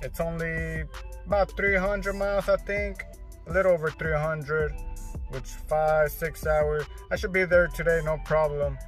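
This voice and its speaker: A man's voice: fairly high pitch, fairly even intonation, a little slow, soft background music. A man with Mexican roots vlogging casually about his trucking runs, rambling with lots of fillers and restarts. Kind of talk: casual vlog chat. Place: in and around his truck, on the road.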